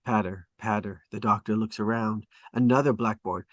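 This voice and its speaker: A man's voice: on the low side, fairly even intonation, moderate pace, dry recording, dull audio. A man reading stage directions as dramatic scripted narration at a table read.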